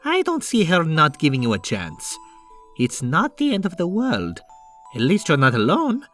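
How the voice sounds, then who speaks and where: A man playing a reassuring, easygoing older-brother plumber in an animated comic dub, talking casually with a teasing streak. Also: fairly high pitch, normal speed, faint music behind. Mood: encouraging.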